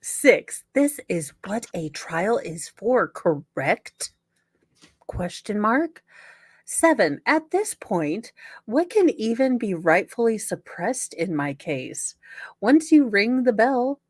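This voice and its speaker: A woman's voice: fairly high pitch, very animated, slow, no room sound, studio quality. A woman hosting a comedic dramatic reading, sarcastic and theatrical, breaking in with mocking, casually sweary asides. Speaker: podcast host.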